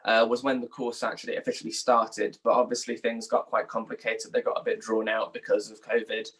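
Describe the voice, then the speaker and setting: A man's voice: fairly low pitch, completely flat delivery, a little fast, fairly bright tone. A young man from England giving informal, thoughtful interview answers as a journalist, often hedging as he talks. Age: young adult.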